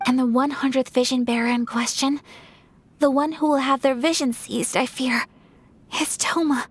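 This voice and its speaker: A woman's voice: high-pitched, fairly even intonation, moderate pace, slightly rough. A woman playing a refined, earnest young noblewoman in a video game, speaking formally and with poise. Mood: grave, worried.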